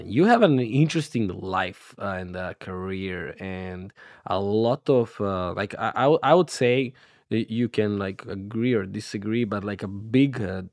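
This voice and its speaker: Low-voiced male podcast host. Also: a little mellow, no echo. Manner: casual, with many fillers like "like" and "uh". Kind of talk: conversational interview.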